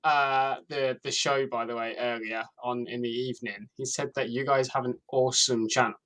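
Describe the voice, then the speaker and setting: A man's voice: mid-range voice, steady tone, moderate pace, a bit bright. A man co-hosting a casual podcast, chatting informally and repeating words as he stammers through explanations. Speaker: podcast co-host. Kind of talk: casual pop-culture discussion.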